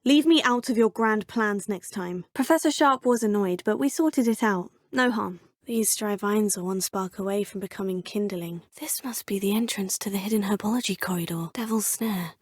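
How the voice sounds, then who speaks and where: A woman's voice: mid-range voice, moderate pace, hardly any pauses, no room sound, studio quality, natural voice. An actress voicing a poised, polite young witch student in a game, proper and lightly teasing. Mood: whispered musing. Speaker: player character, student. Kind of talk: game voice lines. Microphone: close studio mic.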